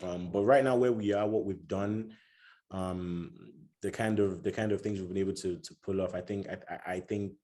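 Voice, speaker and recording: A man's voice: low-pitched, steady tone, normal speed, mellow. A man chatting casually about football as a podcast guest, often restarting and repeating words as he thinks aloud.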